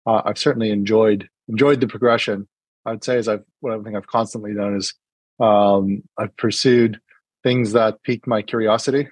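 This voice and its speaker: A man's voice: on the low side, steady tone, moderate pace, darkish tone, no echo. A middle-aged man from New Hampshire, speaking as an interview guest in a casual, hesitant way with many fillers and restarts. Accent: partly British-sounding American. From New Hampshire, USA.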